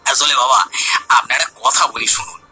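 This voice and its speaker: A man playing a scheming, pushy village man in a cartoon story, a flatterer and bully. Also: medium pitch, brisk, faint music behind, rough recording. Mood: flattering, sly. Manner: wheedling, pushy. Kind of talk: Bengali cartoon story dialogue.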